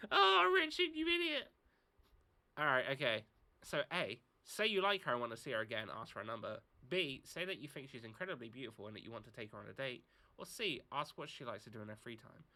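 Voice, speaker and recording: A British streamer gives jokey live commentary on a game and reads the choices aloud. His voice is bright and forceful and swings widely in pitch. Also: a little fast. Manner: animated, jokey, reads out options.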